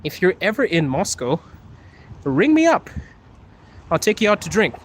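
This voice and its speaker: A man's voice: sits high, sing-song, moderate pace. A young man from Zimbabwe live-streaming from his phone on a wet street at night, chatting casually, laughing often and teasing his viewers. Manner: laid-back, laughs often, playful teasing. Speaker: livestream host. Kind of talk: casual live-stream chat, reading comments. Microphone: phone held while walking.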